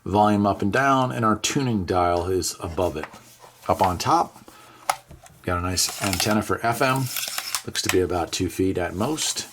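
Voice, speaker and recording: A man's voice: sits low, animated, normal speed. An American man hosting a casual product review, talking conversationally and enthusiastically and repeating phrases for emphasis. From United States. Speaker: radio reviewer and video host. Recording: clear background noise, clicks of handling.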